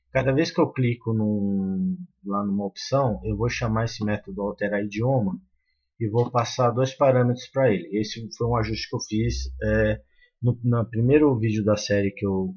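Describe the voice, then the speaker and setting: Guy walking through code, kinda husky, lowish voice, muffled mic. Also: darkish tone. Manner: explains step by step with many fillers and restarts. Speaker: programming tutor. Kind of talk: screen-recorded coding tutorial. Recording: muffled lo-fi sound.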